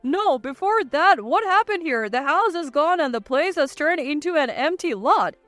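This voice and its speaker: Female narrator as flustered, indignant storybook husband: high, swooping, questioning delivery. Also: lively intonation, normal speed, a bit bright, dry recording, faint music behind. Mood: shocked, protesting. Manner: exclaiming, questioning. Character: bewildered, indignant husband in a family revenge story.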